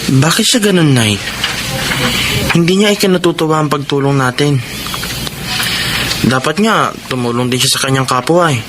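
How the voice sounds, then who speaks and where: Actor as an earnest young son, noisy recording. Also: male voice, a little high, normal speed, pauses often, lightly acted. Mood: troubled. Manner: polite, earnest. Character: respectful, troubled young son of a charitable mother. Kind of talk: Filipino radio drama acting. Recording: clearly noisy radio drama recording.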